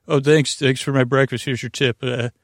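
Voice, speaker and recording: Man playing a doting, sugary spouse, slightly creaky. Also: medium pitch, fairly even intonation, no echo, studio quality, lightly acted. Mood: sweet, affectionate. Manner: halting, affectionate, sweet. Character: doting, sugary spouse chatting sweetly over breakfast.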